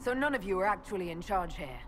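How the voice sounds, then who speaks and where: An actress playing a plain-spoken, resolute prisoner turned heroine in a dramatic video game. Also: female voice, mid-range voice, expressive, fast talker, fairly bright tone, natural voice. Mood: incredulous. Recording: noisy game scene ambience.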